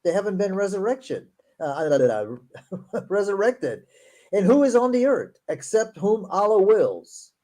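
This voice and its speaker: A man who speaks English as a second language, with Portuguese as his first, and a fairly high but mellow voice. He reads text slowly and breaks in with sarcastic asides. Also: very animated. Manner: reads verses aloud slowly, then comments in a quick, sarcastic tone. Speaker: show host. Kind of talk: casual critical discussion.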